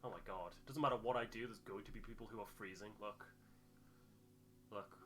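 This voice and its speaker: Bright-voiced man casually commentating a game. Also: on the low side, fairly even intonation, brisk. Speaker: gaming host, player.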